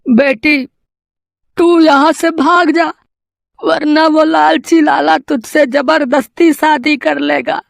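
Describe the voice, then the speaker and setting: A woman's voice: sits high, fairly even intonation, vocal fry, no room sound, clean studio sound, voice pushed up, full character voice. A woman voicing an anxious poor farmer, a pleading father in a cartoon moral story. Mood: worried. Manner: pleading, worried, drawn-out.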